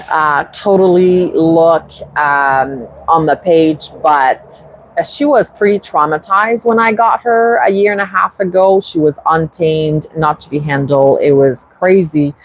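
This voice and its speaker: A woman's voice: low-pitched, poor audio. A woman from Quebec with a French accent, English her second language, hosting a casual livestream and chatting to viewers with frequent restarts. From Quebec, Canada. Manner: unhurried, restarts often, reads viewer comments. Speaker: bird-keeping channel host.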